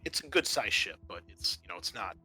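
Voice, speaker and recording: Bright-voiced man running a tabletop game. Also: fairly low pitch, sing-song, brisk. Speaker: game master.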